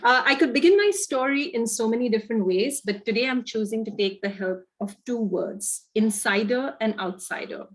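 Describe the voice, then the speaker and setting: A woman's voice: on the high side, moderate pace, hardly any pauses. A woman from India with an Indian accent, giving a reflective storytelling presentation on a video call. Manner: reflective storytelling, lively emphasis. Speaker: guest speaker. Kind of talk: webinar presentation.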